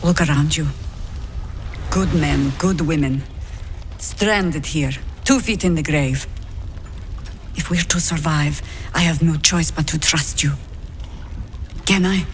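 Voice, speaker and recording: Casting: old veteran druid heroine, lowish voice, measured authority, wary edge, fantasy game. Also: female voice, a little hiss or hum. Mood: wary, grave. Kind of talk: fantasy video game dialogue.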